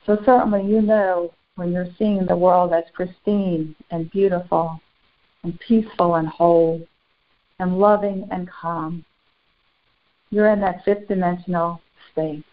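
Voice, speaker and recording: A woman leading a guided spiritual meditation in solemn, formal, deliberate phrases with long pauses. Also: on the low side, fairly even intonation. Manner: solemn, formal, ceremonial wording. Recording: muffled, phone-like narrow band.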